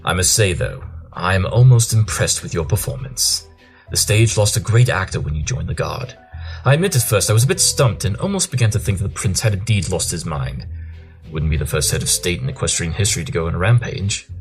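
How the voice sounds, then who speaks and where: Brilliant pony detective acted by a man, low-pitched, pompous, self-assured, audio drama, light music behind. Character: brilliant, smug pony detective, Holmes type.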